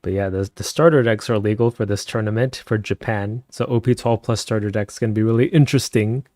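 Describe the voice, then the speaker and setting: Soft-spoken man with a low voice. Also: fairly even intonation, brisk, dry recording, clean studio sound. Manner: chatty, laughs often. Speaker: streamer. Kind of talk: casual live-stream chat with viewers. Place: home at night.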